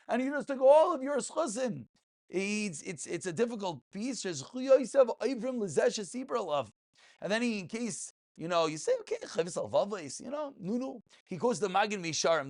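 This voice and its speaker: A man, a rabbi teaching an informal religious class in an emphatic way, posing rhetorical questions and repeating phrases. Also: sits high. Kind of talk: informal Talmud and ethics lecture.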